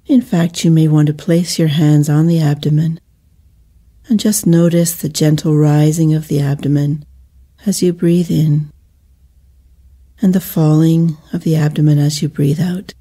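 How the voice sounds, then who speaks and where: Female meditation guide, deeply mellow and relaxed timbre, soothing and measured, leaving gentle pauses. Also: slightly rough.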